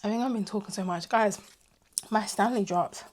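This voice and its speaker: Young woman, casual vlogger, chatty and animated, slightly hoarse voice. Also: medium pitch, moderate pace, heavy background noise. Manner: chatty and animated, drifting into asides. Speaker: vlogger reviewing her tech purchases. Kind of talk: casual chat to camera.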